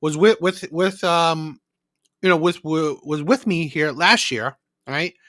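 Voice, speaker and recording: A man's voice: a little high, slow pace, a bit bright, dry recording, clean studio sound. A man from the Philippines hosting a livestream, chatting casually and unscripted, rambling and repeating himself with frequent checking tags. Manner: rambling, repetitive, often adding "right?" as a tag. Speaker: livestream host of a call-in show. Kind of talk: casual unscripted chat to live viewers.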